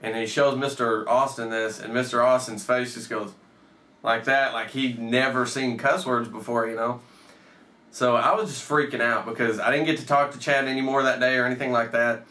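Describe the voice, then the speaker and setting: Bright-voiced man telling stories in a roomy space. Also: medium pitch, fairly even intonation, moderate pace. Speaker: storyteller, host. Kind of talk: casual storytelling, profane. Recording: roomy echo, off the mic.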